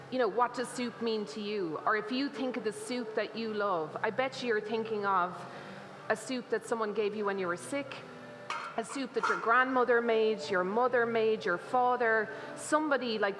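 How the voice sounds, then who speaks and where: A woman's voice: a little high, moderate pace. An Irish woman presenting a cooking demonstration to a live audience, chatty, with many fillers and laughs. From Ireland. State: slight cough. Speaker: cookbook co-author presenting a cooking demo. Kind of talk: live demo talk to an audience. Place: festival stage in a large hall. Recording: reverberant hall, faint noise.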